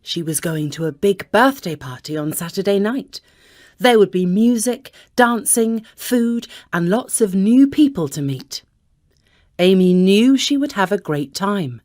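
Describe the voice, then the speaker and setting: A woman's voice: medium pitch, darkish tone. A woman narrating a children's story from a script.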